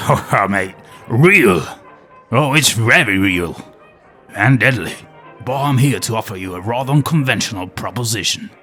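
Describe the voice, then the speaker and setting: A man's voice: on the low side, expressive, unhurried, pushed and bright, soft background music. A man playing an aging former nature documentary host with a wry, world-weary, conspiratorial manner. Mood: sly. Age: older.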